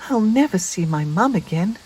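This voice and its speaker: A storybook narrator voicing a small, eager young female spider with an excitable, childlike way of talking. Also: medium pitch, moderate pace, husky, voice pushed up, low-quality recording. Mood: wailing.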